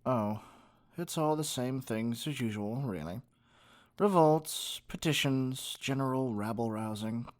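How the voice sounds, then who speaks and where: A man's voice: mid-range voice, a little mellow, natural voice. A man voicing a spoiled, haughty evil king in an ASMR roleplay, speaking in a theatrical, condescending drawl. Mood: haughty exasperation.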